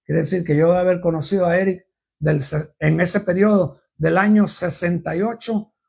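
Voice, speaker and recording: A man's voice: high voice, steady tone, normal speed, creaky, darkish tone, breathy edge. An older man from Cuba, preaching informally on a live program, rambling through his testimony and repeating refrains.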